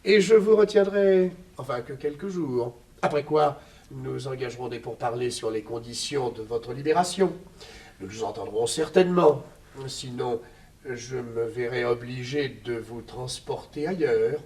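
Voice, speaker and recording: An actor playing a smug, genteel captor with unctuous, over-courteous manners, in a 1960s French radio serial. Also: male voice, mid-range voice, unhurried, a little mellow, far from the mic, natural voice. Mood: courteous menace. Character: suavely polite male villain, smug genteel captor.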